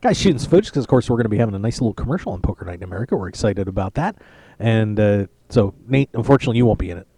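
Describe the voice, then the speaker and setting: A man's voice: lowish voice, animated, very fast, dark tone, husky, dry recording. Chatty male poker radio host. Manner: chatty, joking, rambling with restarts. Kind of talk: casual live-stream chat. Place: poker tournament lounge.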